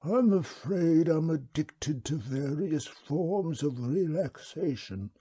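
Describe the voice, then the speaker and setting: Male reader as a ponderous, polite ancient creature, slow and lilting. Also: fairly high pitch, lively intonation, warm tone, slightly rough, pitched above the performer's own voice, exaggerated voice. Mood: calm, polite. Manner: slow, courteous, drawn-out speech.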